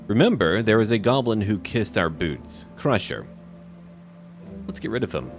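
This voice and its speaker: A man giving casual let's-play commentary, thinking aloud in a chatty, relaxed way and calling out to his party. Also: on the low side, normal speed, faint music behind. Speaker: gamer playing a role-playing game.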